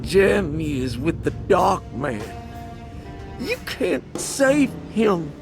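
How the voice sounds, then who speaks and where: Creepy threatening stranger in a game, super slow and deliberate, loud music all over it. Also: female voice, fairly low pitch, very animated, creaky, exaggerated voice. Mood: cold, threatening. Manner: menacing, deliberate. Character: ominous, slow-speaking menacing stranger giving warnings. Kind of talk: video game cutscene acting.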